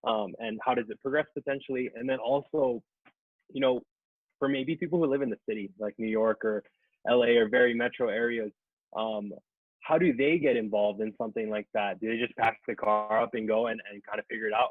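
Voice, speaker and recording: A man's voice: on the low side, fairly even intonation, brisk, breathy edge, no echo. A man from Hawaii with an American accent, co-hosting a podcast and asking questions casually over a narrow, phone-band connection. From Hawaii, United States. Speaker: podcast co-host. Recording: dry, narrow radio-like phone-band sound.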